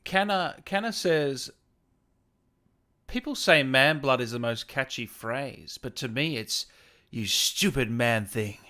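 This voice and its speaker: A man's voice: mid-range voice, slow pace, bright, forceful tone. A man hosting a casual livestream interview, chatting and reading out comments from viewers. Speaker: interview host.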